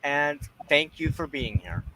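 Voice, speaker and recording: A man hosting an informal online show, speaking in a halting, deliberate way as he searches for words. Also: a little high, expressive, pushed and bright, slight background noise, low-quality recording. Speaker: host of a weekly online show. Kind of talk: informal livestream sign-off and appeal.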